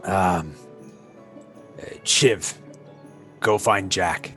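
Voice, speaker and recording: A man's voice: sits low, extremely slow, fairly bright tone, faint music behind, voice pushed down, full character voice. A man voicing a curt, weary male military commander in a tabletop game.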